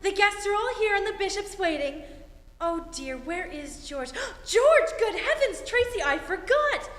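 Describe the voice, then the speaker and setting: A woman's voice: very high voice, big pitch swings, unhurried, fairly bright tone, full character voice. A woman acting a flustered, fussing mother of the bride in a 1940s-style radio comedy, full of exclamations. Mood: frantic, fussing. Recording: echoey hall, live stage reenactment.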